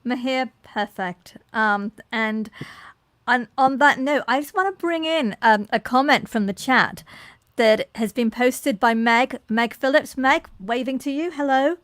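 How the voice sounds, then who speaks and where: A woman's voice: on the high side, a little slow, fairly bright tone, no room sound, clean studio sound. A woman hosting a live online panel, effusive, with frequent restarts. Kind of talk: enthusiastic live-stream moderating.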